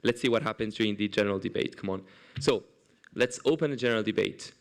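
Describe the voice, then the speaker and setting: Clear-voiced man chairing a debate. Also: on the low side. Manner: brisk, procedural, prone to restarts.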